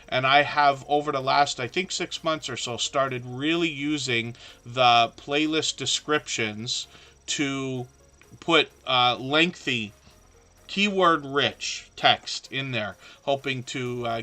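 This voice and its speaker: Casting note: middle-aged man, livestream host, bright edgy tone, voice a bit scratchy and worn. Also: medium pitch. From southern Ontario, Canada. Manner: chatty, encouraging, frequent ums and restarts. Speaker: live stream host. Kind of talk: casual live stream chat.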